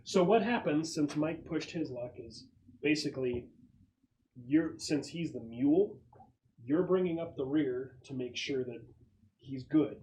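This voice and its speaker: Halting male game master, noisy room. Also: a little high, dark tone. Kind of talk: improvised tabletop game narration and casual chat.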